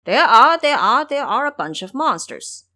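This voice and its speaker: A woman's voice: high voice, sing-song, moderate pace, sharp tone, voice pushed up, exaggerated voice. A woman voicing a foolish, cowardly male pig disciple in an audio drama, blustering and whining through lies. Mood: boastful.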